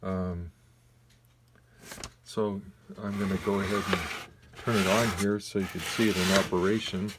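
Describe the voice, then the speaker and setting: Low-voiced man with noisy background. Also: level tone. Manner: halting, tentative, plain-spoken. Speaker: radio collector giving a demonstration. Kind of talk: casual unscripted product demonstration. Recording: noisy amateur home video recording.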